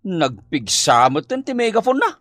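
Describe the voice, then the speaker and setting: Nosy jumpy neighbour, high sharp voice, all over the place, ilocano comedy drama. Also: male voice, big pitch swings, normal speed, dry recording, clean studio sound. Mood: startled, annoyed. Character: nosy, startled male neighbour.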